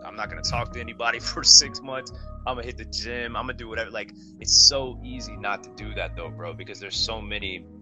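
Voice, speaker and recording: A man in casual podcast chat, talking in an animated, rambling way and calling his friend "bro". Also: lowish voice, fast talker, music in the background. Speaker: podcast co-host.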